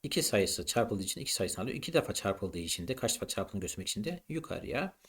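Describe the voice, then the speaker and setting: Low-pitched man explaining like a teacher. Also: very animated, fast talker, slightly rough. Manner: didactic, poses questions then answers them. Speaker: maths teacher presenting. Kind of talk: explanatory lesson narration.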